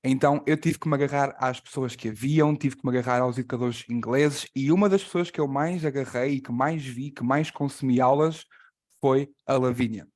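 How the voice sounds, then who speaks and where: A man from Portugal with a Portuguese accent hosts a live online class. He is a native Portuguese speaker with a mid-pitched voice, speaking as someone presenting a guest to a group. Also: quick pace, a little mellow. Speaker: host and translator of an online class. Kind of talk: introducing a guest on a live call.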